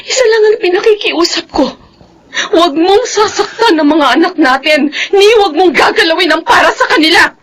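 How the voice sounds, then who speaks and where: A woman's voice: extremely high pitch, brisk, a bit bright. A woman acting a long-suffering, protective wife and mother in a radio drama, earnest and emotional. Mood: pleading, defiant. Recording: faint background noise.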